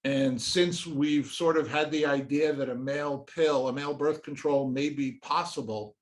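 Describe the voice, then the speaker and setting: A man's voice: fairly high pitch, steady tone, moderate pace. A man in his sixties, a professor, answering interview questions earnestly, often restarting his sentences as he searches for words, in an office. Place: indoor office with shelves and pictures.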